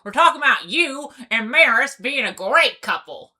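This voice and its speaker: A woman's voice: high voice, animated, moderate pace, bright, forceful tone, exaggerated voice. A woman voicing a bossy, teasing pony sea captain with pirate-style speech in a cartoon comic dub. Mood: coaxing, assured.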